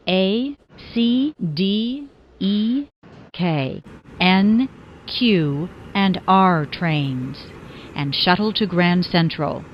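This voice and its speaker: A woman's voice: on the low side, muffled recording, a little hiss or hum. A woman reading scripted transit announcements as a train announcer, in formulaic, carefully enunciated phrases that sound spliced together.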